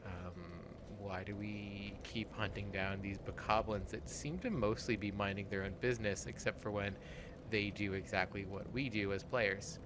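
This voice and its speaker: A person with a man's voice hosting a casual gaming let's play, talking in a halting way and swearing freely. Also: on the low side, fairly even intonation, noisy background. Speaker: host and player of a gaming show.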